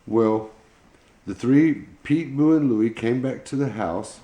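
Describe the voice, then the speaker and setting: A man's voice: medium pitch, slow pace, warm tone, roomy sound. A man telling an informal children's bedtime story in a folksy, colloquial way. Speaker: pet channel host telling a bedtime story.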